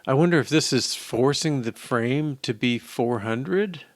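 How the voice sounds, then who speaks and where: A man's voice: medium pitch, measured pace, dry recording, clean studio sound. An older man thinking aloud as he programs, in a casual, hesitant mutter to himself. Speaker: programmer live-coding a mobile app. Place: small indoor room at a desk.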